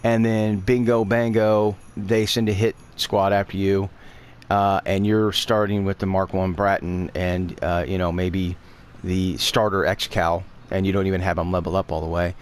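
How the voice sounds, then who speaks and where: A man's voice: low-pitched, level tone, moderate pace, slight background noise. A man with a stuffy voice from allergies, talking through a game guide in a casual, rambling way that is full of fillers and self-corrections. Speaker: gaming guide creator. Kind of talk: casual game tutorial narration.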